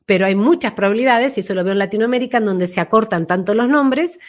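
A woman explaining her own method as a voice practitioner in an expressive, conversational way, illustrating her points as she goes. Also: medium pitch, fast. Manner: expressive, acts out names and quotes to illustrate points. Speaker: voice and name-energy practitioner being interviewed.

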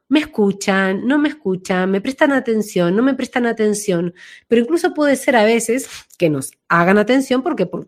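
A woman recovering from flu, explaining as an interview guest in a reflective way, repeating phrases for emphasis. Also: mid-range voice. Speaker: interview guest, voice work coach.

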